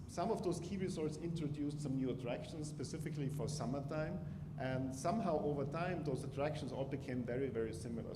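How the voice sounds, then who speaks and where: A man from Austria with an Austrian accent, a professor giving a relaxed, conversational research talk with many filler sounds. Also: mid-range voice. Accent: Austrian German. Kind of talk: academic presentation. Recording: echoey hall with noise.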